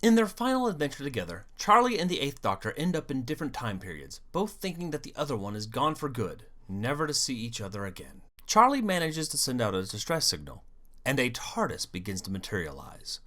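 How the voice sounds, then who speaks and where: A video host delivering scripted fan commentary in an animated, storytelling way with wry asides. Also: mid-range voice, a little fast. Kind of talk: scripted fan commentary on an audio drama series.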